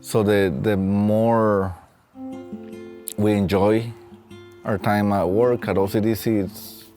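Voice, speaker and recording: A man in his late thirties with a Mexican accent, telling his own story in a halting, reflective way. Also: fairly low pitch, level tone. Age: late 30s. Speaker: facilities and safety technician and staff trainer. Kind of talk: reflective personal storytelling for a training film. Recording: soft plucked guitar music behind. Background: guitar music.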